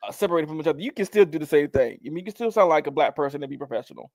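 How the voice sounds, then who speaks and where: Co-host, male, higher-pitched, lively and emphatic, dry close mic. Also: no echo. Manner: animated, emphatic, quick to laugh. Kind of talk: casual chat.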